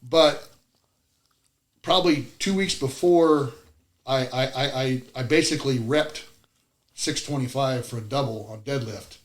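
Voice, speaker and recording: A man's voice: medium pitch, unhurried, pauses often. A man of about fifty chatting casually as a podcast guest, telling lifting stories bluntly, swearing and repeating himself. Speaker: podcast guest, competitive powerlifter.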